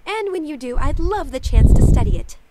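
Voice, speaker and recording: A shrewd little gnomish lady scientist for a video game. She is dry and appraising in a high, very animated voice. The capture is heavily noisy with clicks. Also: a little fast. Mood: wry.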